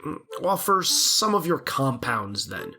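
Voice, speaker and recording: A woman voicing a lovelorn, vain male glass blower in a storybook audio drama, husky and pleading. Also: very deep voice, very animated, unhurried, bright, forceful tone, full character voice. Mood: hesitant.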